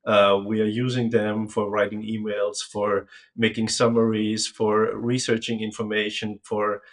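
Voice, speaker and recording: A man's voice: fairly low pitch, monotone, a little slow. A man hosting a business interview, asking questions hesitantly with many fillers. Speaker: interview host.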